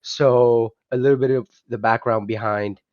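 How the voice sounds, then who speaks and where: A man's voice: mid-range voice, fairly even intonation, normal speed, dry recording. A young man from Vietnam, a guest talking casually on an online call, hesitant and full of restarts and fillers. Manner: hesitant, many restarts and "you know" fillers. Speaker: guest, project founder. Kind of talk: casual podcast interview. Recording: dull lo-fi online call audio.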